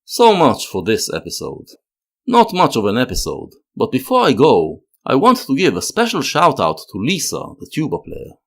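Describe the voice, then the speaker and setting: A man narrating a scripted analytical video essay in a measured, expressive way. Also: medium pitch.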